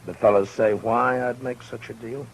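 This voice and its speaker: A man playing a calm frontier marshal in a 1950s radio western, unhurried and often chuckling in a dry way. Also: medium pitch, husky, lightly acted, poor audio. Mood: steely.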